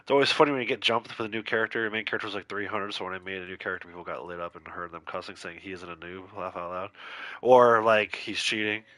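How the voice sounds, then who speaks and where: A man's voice: deep voice, fast talker, pushed and bright, no room sound. A man streaming a video game, chatting casually with his viewers while congested and a bit nasal from a cold. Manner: chatty, reads out and answers viewer chat, laughs easily. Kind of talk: casual livestream chat while playing.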